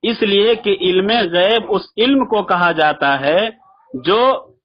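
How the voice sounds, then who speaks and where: A man's voice: high voice, lively intonation, slow pace. A man debating religion on a phone call, arguing insistently and repeating his points in formal religious vocabulary. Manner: insistent, argumentative, repeats himself. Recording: dull lo-fi phone call recording.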